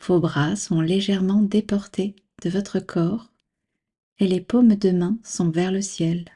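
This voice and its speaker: A woman guiding a relaxation practice as a meditation instructor, speaking calmly and soothingly in measured instructions with long pauses. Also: mid-range voice, slightly rough. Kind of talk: guided yoga nidra relaxation.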